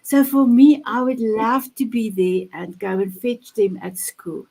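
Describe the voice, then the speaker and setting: Older South African woman, animated storyteller. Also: on the high side, creaky, poor audio. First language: Afrikaans. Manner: animated storytelling, halting restarts. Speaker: guest. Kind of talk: casual chat.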